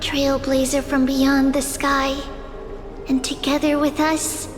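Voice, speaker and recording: An actress playing a solemn young priestess in a fantasy game, reciting in a ceremonial, incantatory way. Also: female voice, sits high, flat delivery, slow. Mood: solemn, reverent. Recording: long hall reverb with music behind.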